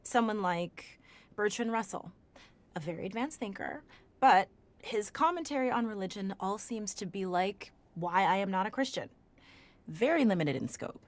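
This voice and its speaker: A woman plays a thoughtful researcher with a medium-pitched voice. She is articulate and opinionated, with an exasperated edge, talking naturally as in an audio drama discussion. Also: lively intonation, moderate pace, lightly acted. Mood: critical.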